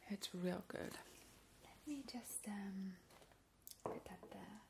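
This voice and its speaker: A woman in a whispered ASMR roleplay, drawing out her words and repeating trigger words softly, recorded indoors at a table on a close mic. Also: medium pitch, slow, gravelly, slight background noise. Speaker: ASMR channel host.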